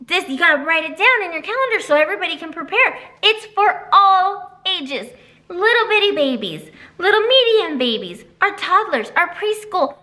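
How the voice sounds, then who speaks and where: Woman in her 30s, storytime librarian; high and singsong, with a lively, swooping delivery. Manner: animated, sing-song teacher voice. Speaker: children's librarian. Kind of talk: storytime reading and announcements for kids. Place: library children's room, indoors.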